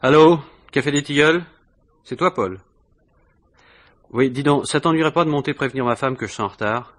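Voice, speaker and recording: Actor as a grumbling, put-upon police inspector. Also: male voice, medium pitch, moderate pace, a bit bright, natural voice. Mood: businesslike. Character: put-upon family-man police inspector, reluctant to work late. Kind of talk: 1950s French radio crime drama acting.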